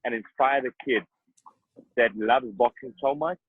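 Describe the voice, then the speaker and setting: A boxer, talking earnestly and emphatically in an interview, often repeating himself. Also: male voice, medium pitch, moderate pace, slightly rough, dry recording. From South Africa. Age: 30s. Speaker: boxer guest. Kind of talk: interview conversation. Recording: tinny phone-like dry sound.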